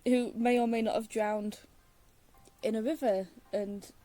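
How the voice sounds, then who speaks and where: Softly mellow, wry woman gaming. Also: a little high, fairly even intonation, measured pace, no echo. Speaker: game streamer. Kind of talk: casual playthrough commentary. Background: faint game music.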